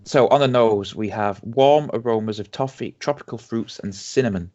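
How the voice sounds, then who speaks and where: A man's voice: lowish voice, darkish tone. Yorkshire man bantering over whisky. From Yorkshire, England. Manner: reads tasting notes aloud slowly, banters. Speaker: podcast co-host. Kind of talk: casual tasting chat. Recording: dull lo-fi home podcast audio.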